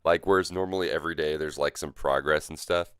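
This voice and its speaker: A man's voice: rumbling low, steady tone, fast talker, a bit bright, clean tone, no room sound, studio quality. A man live streaming a game, sick and coughing, chatting loosely with viewers and joking as he greets chat. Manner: loose and joking, rambling with fillers. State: sick with a cough, voice deeper than usual. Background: faint game music.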